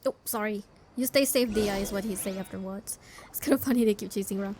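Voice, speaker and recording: A woman's voice: mid-range voice, fast talker, darkish tone, slight background noise. A woman doing casual, chatty gameplay commentary in informal non-native English. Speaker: game streamer. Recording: game music behind the voice. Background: video game music.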